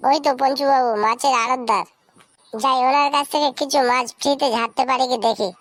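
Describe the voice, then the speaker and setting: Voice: sits high, fairly even intonation, a little fast, a bit bright. A performer voicing a wheedling young male freeloader in a cartoon comedy, hesitant and hemming. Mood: wheedling, scheming.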